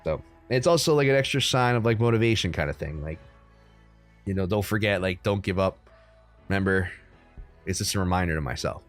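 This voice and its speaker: A young man from the United States hosting a crypto livestream, chatting casually with viewers in a relaxed, rambling way full of ums and likes. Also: low voice, fairly quick, long pauses, faint music behind. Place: room at home.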